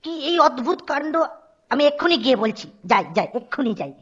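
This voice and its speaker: A woman voicing an excitable, obedient male royal errand-runner in a cartoon, hurried and full of flustered exclamations. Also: sits high, highs cut off. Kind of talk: cartoon voice acting.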